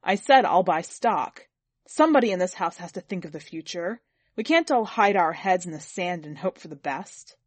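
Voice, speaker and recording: Audiobook husband, played by a woman: pitched down, sour, gloomy, belittling, short-tempered, very dry close recording. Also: normal speed, darkish tone, no room sound. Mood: angry. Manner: gloomy, brutal, complaining. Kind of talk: dramatic audiobook character reading.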